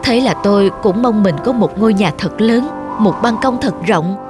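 A woman voices a lonely middle-aged woman in a cartoon, speaking with regret and longing. Clear music runs underneath her words. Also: mid-range voice, moderate pace, close to an everyday voice. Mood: regretful. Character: lonely, regretful older woman living alone. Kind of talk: Vietnamese cartoon voice acting.

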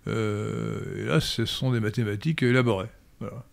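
An older man answering listener questions in a professorial, hesitant manner with frequent pauses and restarts, recorded on a stand mic in a study. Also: on the low side, steady tone, creaky. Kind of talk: lecturing Q&A answers. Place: study room indoors. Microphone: studio mic on stand.

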